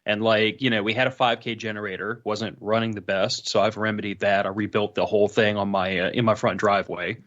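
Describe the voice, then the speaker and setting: A man's voice: fairly low pitch, flat delivery, a little fast, hardly any pauses, fairly bright tone, highs cut off. A middle-aged man explaining his experiences casually, in a frank, folksy way. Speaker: podcast guest, prepper.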